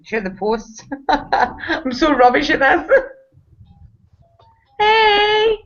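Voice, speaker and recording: A woman's voice: high-pitched, sing-song, very slow, fairly bright tone. Giggly woman vlogger on a video call. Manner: enthusiastic, giggly. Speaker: guest vlogger. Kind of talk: casual live video chat. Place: hall. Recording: dull lo-fi video call audio.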